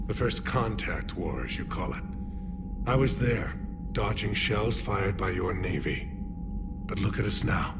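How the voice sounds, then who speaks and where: Formal, guarded alien commander, lowish voice, music behind. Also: male voice. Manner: formal, measured and reserved. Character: dignified male alien military leader, measured and guarded. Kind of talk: video game voice acting.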